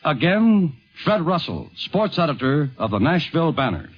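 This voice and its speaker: Animated high-voiced male 1950s radio narrator. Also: moderate pace, soft background music. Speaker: documentary narrator. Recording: dull vintage 1950s radio broadcast.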